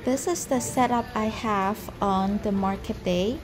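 Woman, 30s, potter vlogger, unhurried and soft-spoken over background music. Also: medium pitch. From Canada. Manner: slow, gentle, careful delivery. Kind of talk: calm explanatory vlog narration. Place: home room indoors.

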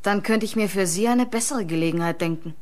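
A woman's voice: medium pitch, brisk, darkish tone, close to an everyday voice. Actress doing no-nonsense working woman in a radio play, mid voice, bit reproachful. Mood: cool, reproachful. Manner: direct, reproachful. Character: self-assured working woman, reproachful and direct. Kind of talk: German radio crime drama acting.

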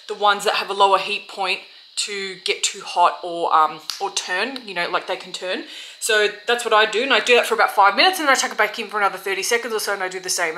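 A woman's voice: mid-range voice, pushed and bright, poor audio. An Australian woman, around her thirties, talking informally and thinking aloud as she demonstrates a craft in a casual how-to vlog. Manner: informal, chatty, thinking aloud while working. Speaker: vlogger and small soap-business owner. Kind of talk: casual how-to vlog, explaining while working. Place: small indoor home workshop. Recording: clear background noise, dish and pot clatter.

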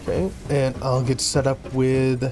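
A man talks easily with a friend while they play a building game. He is relaxed, laughs often, and speaks at a middling pitch over steady game music. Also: measured pace. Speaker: co-op gameplay player. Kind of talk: casual gaming chat.

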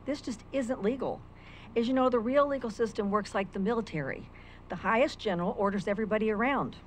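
A woman's voice: a little high, fast, slight background noise. An older woman reading a prepared legal argument as public comment at a county meeting, speaking into a stand microphone. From United States. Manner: formal, argumentative, reads quotes from legal texts. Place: county meeting room, indoors. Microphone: stand microphone at a lectern.

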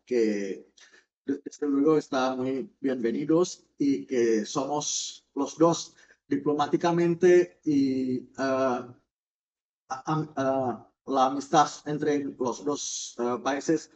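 Man, forties, Indonesian accent, non-native speaker, halting delivery full of fillers, dull lo-fi home webcam audio. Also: fairly high pitch, darkish tone. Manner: halting, many filler sounds, gestures while speaking. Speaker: orchestra founder and artistic director. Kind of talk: addressing viewers in a recorded video message. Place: home music room with piano. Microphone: webcam or laptop mic at desk distance.

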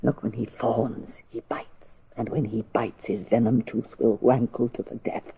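A woman's voice: extremely low pitch, fairly even intonation, measured pace, creaky, dark tone, a little hiss or hum, full character voice. An actress playing a bitter old deposed queen, declaiming ominous prophecies and curses in Shakespearean drama. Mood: ominous warning. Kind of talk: theatrical Shakespearean stage acting.

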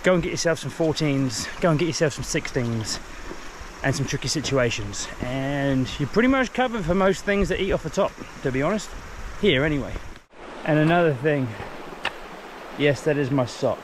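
A man in his forties with a New Zealand accent, talking excitedly to an action camera while fly fishing outdoors by a forest river. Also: on the high side, normal speed. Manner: enthusiastic, exclaims often. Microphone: action camera, chest or handheld. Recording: outdoor river recording with clear background noise. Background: flowing river.

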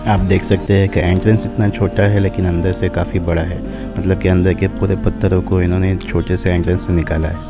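A man's voice: low voice, level tone, quick pace, music in the background. A man vlogging as he walks, explaining the sights outdoors to his viewers. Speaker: travel vlogger. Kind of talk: casual travel narration. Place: outdoors at a desert rock site.

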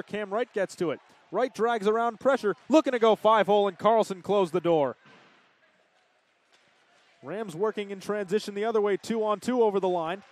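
High-voiced man calling hockey play-by-play. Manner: rapid calls that surge loud on goals. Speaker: play-by-play commentator.

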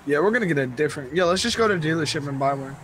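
A man's voice: fairly high pitch, animated, racing, a bit bright. A young man from New Jersey chatting casually and informally as a fellow player, with slang and swearing. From New Jersey, United States. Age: young adult. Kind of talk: casual gameplay chat. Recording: clear background noise, game car sounds.

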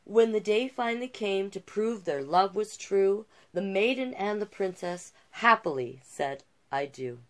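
A middle-aged woman reading a children's picture book aloud with expressive delivery and casual asides. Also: mid-range voice. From Canada. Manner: unhurried, expressive storybook delivery. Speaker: storytime host and drag king. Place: small cluttered room indoors.

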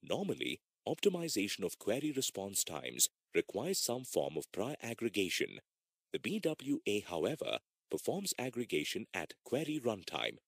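A man reading scripted instructional narration in a measured, formal manner, as for an e-learning course. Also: on the low side, huge pitch swings, breathy edge, no room sound, studio quality.

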